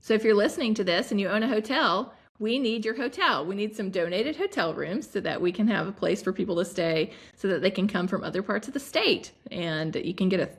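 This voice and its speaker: Gravelly-voiced woman podcast host. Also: mid-range voice, animated, a little fast, hardly any pauses. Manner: thoughtful, many fillers and restarts. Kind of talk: reflective conversation.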